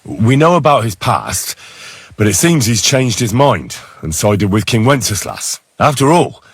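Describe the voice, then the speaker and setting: A man's voice: medium pitch, big pitch swings, measured pace, husky, no echo, full character voice. An actor playing an indignant, forthright man-at-arms in a game, arguing directly. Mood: probing. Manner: argumentative, direct.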